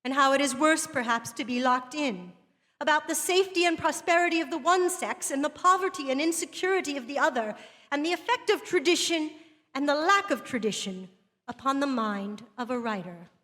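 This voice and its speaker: High-voiced, eloquent woman writer, cavernous hall. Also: lively intonation, bright, forceful tone. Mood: reflective, measured. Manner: measured, literary, rhetorical delivery. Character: earnest, eloquent woman writer lecturing to women. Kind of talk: dramatic reading of a literary lecture. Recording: long hall reverb.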